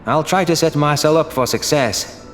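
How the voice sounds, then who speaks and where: A man's voice: on the high side, moderate pace. A man reading dramatic first-person scripted narration as an audiobook narrator. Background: background music.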